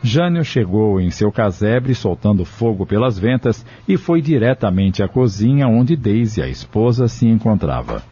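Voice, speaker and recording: A man's voice: on the low side, big pitch swings, moderate pace, hardly any pauses. A man narrating a scripted audio novel in a dramatic storytelling style. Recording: dull, radio-like lo-fi audio. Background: soft music.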